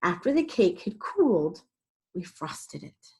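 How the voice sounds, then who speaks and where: A woman's voice: fairly low pitch, sing-song, unhurried, mellow, husky. A woman storyteller reading a picture book aloud expressively and dramatically for young children. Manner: dramatic, explains to young listeners.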